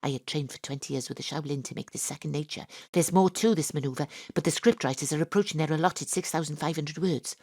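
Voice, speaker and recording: An actress voices a daydreaming woman detective narrating her own action scene in a live audio drama. Her voice sits low and she hams up the heroics with a wink at the audience. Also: fast talker, few pauses, mellow, no echo, studio quality. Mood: dramatic. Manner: melodramatic, self-mocking first-person narration. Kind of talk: live radio drama acting. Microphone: home studio mic.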